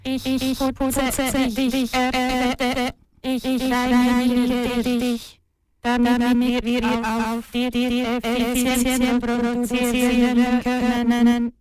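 Woman as a monotone, stuttering artificial intelligence. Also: fairly high pitch, extremely slow, clean tone, soft background music. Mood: detached, halting. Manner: stuttering, repeating words like a glitching machine. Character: female artificial intelligence, pensive servant of a heavenly power. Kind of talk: radio play acting.

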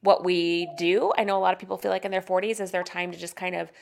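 A woman in her forties co-hosting a podcast, chatting candidly and excitably. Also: on the low side, fast talker, vocal fry. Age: 40s. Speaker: podcast co-host. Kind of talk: casual chat.

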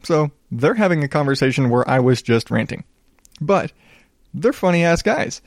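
A man in his thirties hosting his own podcast, rambling casually in a calm, smooth voice and poking fun at himself. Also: on the high side, moderate pace, no room sound, studio quality. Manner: rambling, self-deprecating, reads reviews aloud. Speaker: podcast host. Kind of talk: casual solo podcast monologue.

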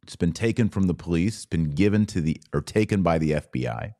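A man hosting a podcast, giving solo commentary on the news in an earnest, emphatic way with short, deliberate phrases. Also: sits low, dark tone.

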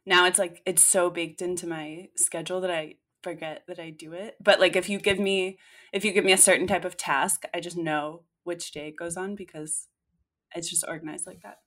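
A woman's voice: lowish voice, steady tone, moderate pace, fairly bright tone. A woman in her late twenties, co-hosting a podcast in casual chat, talking freely with many fillers and restarts. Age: late 20s. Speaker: podcast co-host.

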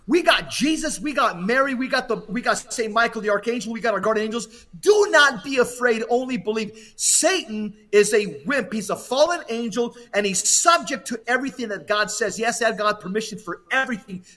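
A man's voice: very high-pitched, lively intonation, a little fast, few pauses, sharp tone. A man giving impassioned religious exhortation as a podcast commentator, urgent and emphatic, often repeating phrases for weight.

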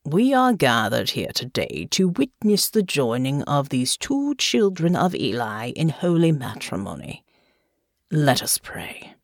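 A woman voicing a grave, stately male bishop who intones slowly and ceremonially in an audiobook. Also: sits low, wildly swooping pitch, creaky, no echo, clean studio sound, voice pushed down, full character voice. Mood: solemn, prayerful. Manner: slow, intoning, ceremonial delivery. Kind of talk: liturgical recitation in an audiobook.

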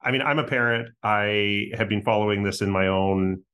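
A man's voice: lowish voice, normal speed, a bit bright, clear, resonant tone. A man in his thirties hosting a political podcast, moving between a polished scripted opener and hesitant, chatty questions. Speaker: podcast host. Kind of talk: scripted intro, then casual hosting.

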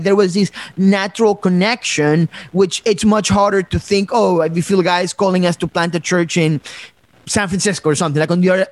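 Host from Ecuador, high male voice, thoughtful and halting, very dry recording. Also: dry recording. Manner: reflective, many fillers and restarts. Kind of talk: conversational podcast talk.

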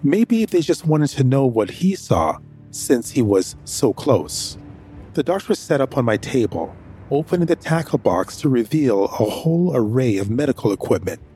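A man's voice: medium pitch, big pitch swings, normal speed, warm tone, faint music behind, close to an everyday voice. An actor narrating as a nervous man hiding his sick brother, hesitant and anxious in his dialogue. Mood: steady narration. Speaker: first-person narrator. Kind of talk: audio drama narration.

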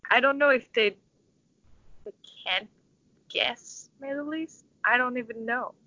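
A woman in her twenties from Istanbul with a Turkish accent, answering interview questions in a thoughtful, halting, conversational way. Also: a little high, bright, forceful tone, dry recording, lo-fi sound. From Istanbul, Turkey. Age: 20s. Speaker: interview guest, orchestral conductor.

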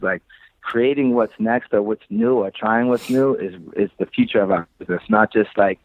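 Low-voiced man on a thin phone line. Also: dry recording. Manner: candid, slangy, freely swearing. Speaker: interview guest, creative director of a sneaker shop. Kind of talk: casual chat, storytelling.

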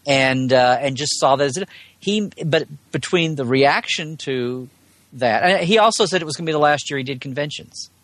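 A man's voice: on the high side, normal speed, fairly bright tone, no echo. A man speaking as a podcast guest in a casual, rambling chat about sci-fi, wry and jokey in his delivery. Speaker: podcast guest, Star Trek commentator.

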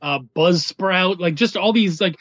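Guy guest chatting on a podcast, kinda high voice, slightly dull lofi audio. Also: a little slow, fairly bright tone, dry recording. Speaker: podcast guest, comics writer and editor. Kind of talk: casual interview chat.

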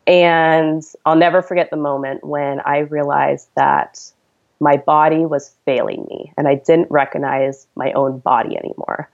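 A woman's voice: sits low, steady tone, a little slow, vocal fry, narrow, tinny audio. A woman in her thirties, a podcast guest, telling her story in an earnest, chatty way. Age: 30s. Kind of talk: conversational storytelling.

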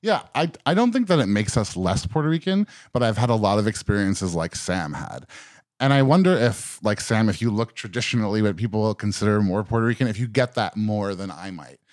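Guy from westchester hosting a podcast, easygoing, asks lots of questions, low voice. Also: a little fast, no echo. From Westchester County, New York. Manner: relaxed, chatty, asks questions. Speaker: podcast host. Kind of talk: casual group conversation. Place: indoor room.

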